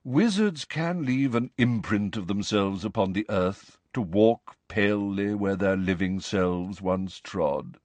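A man voicing a resigned, courtly male ghost in an audiobook, speaking quietly and formally with hesitant, sighing phrases. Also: fairly low pitch, expressive, measured pace, dry recording. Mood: solemn. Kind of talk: audiobook character voice.

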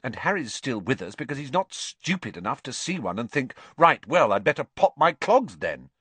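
A man puts on a brainy, bossy schoolgirl from a storybook. The pitch sits well above his own and moves in lively swoops, with a brisk, cool, superior delivery. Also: dry recording. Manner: brisk, know-it-all, cool. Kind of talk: audiobook character voice.

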